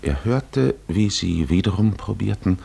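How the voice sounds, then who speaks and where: A man narrating a 1950s German radio drama as a measured, solemn literary storyteller. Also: lowish voice, animated, creaky, warm tone, husky, exaggerated voice. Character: solemn male storyteller of an epic.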